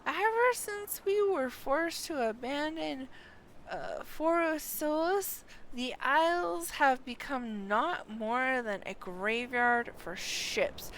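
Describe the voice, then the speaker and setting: A grim seafarer from a game, played by a woman reading aloud, blunt and foreboding. The words come slowly and heavy with doom, in a higher voice, over a noisy recording. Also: fairly bright tone, full character voice. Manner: dialect words, dramatic doom-laden delivery. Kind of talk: game quest dialogue read in character. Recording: clearly noisy background.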